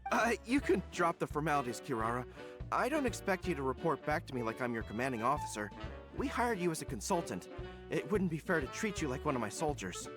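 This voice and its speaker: An earnest young male general in a video game, formal and military in his phrasing and easily flustered. His pitch moves in a lively way, with music playing underneath. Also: fairly quick.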